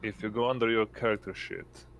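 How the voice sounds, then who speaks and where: A man with a low voice speaks as a player in a tabletop game. His talk is casual and practical, coming out in halting pieces, with faint noise behind it. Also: fairly even intonation, creaky, fairly bright tone.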